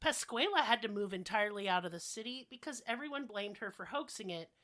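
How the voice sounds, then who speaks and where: A woman's voice: mid-range voice, lively intonation, fast, a bit bright. A woman hosting a podcast while sick, telling stories in a casual, chatty, joking way with slang and swearing. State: sick, coughing.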